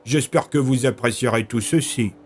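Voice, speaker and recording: A man's voice: mid-range voice, normal speed, a little hiss or hum, close to an everyday voice. A man playing a refined, scheming military leader in an audio drama, with urbane, courteous and smug manners. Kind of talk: French audio fiction acting.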